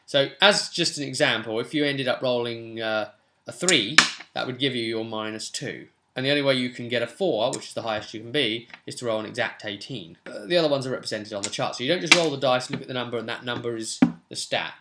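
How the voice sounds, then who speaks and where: A man's voice: mid-range voice, fast, heavy background noise. A young man hosting a casual tabletop role-playing tutorial as game master, chatty and given to jokey asides, recorded at home in a small room. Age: young adult. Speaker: game master and channel host.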